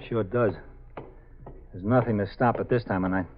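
An American man playing a brisk, watchful police sergeant who gives clipped orders in a 1950s radio drama. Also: fairly low pitch, fairly even intonation, slight background noise, close to an everyday voice. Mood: focused, commanding. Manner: clipped, commanding. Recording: dull 1950s radio broadcast.

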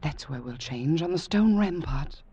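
A woman's voice: deep voice, expressive, a little slow, loud music behind the voice. An actress playing a seductive, commanding witch lover who can turn into a cat, intense and imploring in a 1940s radio drama. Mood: hushed, beckoning. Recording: dull, noisy 1940s radio recording.

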